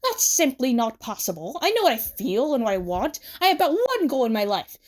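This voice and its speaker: Brief: storybook would-be star, young man acted by a woman, theatrical, emphatic, swooping pitch, easily flustered. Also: wildly swooping pitch, a little fast, full character voice. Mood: indignant, then grandly boastful. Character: dramatic, vain young male aspiring star, easily flustered.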